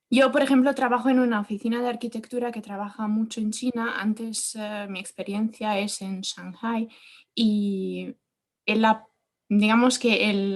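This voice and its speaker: Hesitant Italian woman asking a question. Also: fairly high pitch. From Italy. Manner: polite, hesitant. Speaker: audience member asking a question. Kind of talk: question at an online conference. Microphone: video call.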